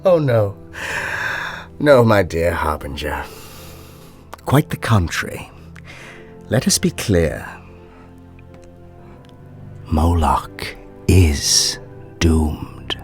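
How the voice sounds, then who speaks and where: A man playing a suave, world-weary, cynical ruler in a radio drama, speaking deliberately and condescendingly with theatrical pauses. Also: low-pitched, sing-song, faint music behind, exaggerated voice. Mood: sardonic, lofty.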